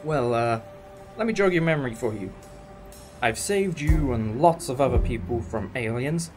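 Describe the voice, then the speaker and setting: A man's voice: medium pitch, lively intonation, moderate pace, close to an everyday voice. A British man playing an eccentric, enthusiastic alien time traveller in a fan audio drama, musing like a professor. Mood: friendly. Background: music.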